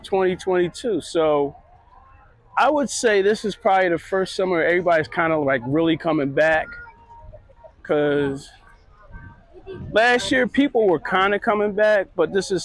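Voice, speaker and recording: A middle-aged man strolls an outdoor market, chatting easily as he points things out. His voice sits a little high, and the phone mic catches it dry and close, with no room around it. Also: no room sound. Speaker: vlogger. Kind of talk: casual walking commentary. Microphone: handheld phone camera.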